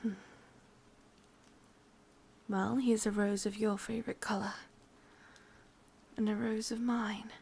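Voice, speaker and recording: A woman with an English accent playing an ancient, possessive, sadistic witch in a whispered ASMR roleplay, slow, breathy and softly menacing. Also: medium pitch, level tone, heavily acted. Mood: softly pleased.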